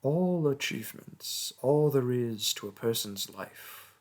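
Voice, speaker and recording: Casting: male actor as a vain sorcerer king, slow, deliberate delivery, breathy tone, condescending and grandiose, for fantasy audio roleplay. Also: mid-range voice, expressive. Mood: grand, storytelling. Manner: pompous, theatrical, condescending. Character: pompous, vain mage king, haughty toward his peasant subject. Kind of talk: theatrical roleplay monologue and storytelling.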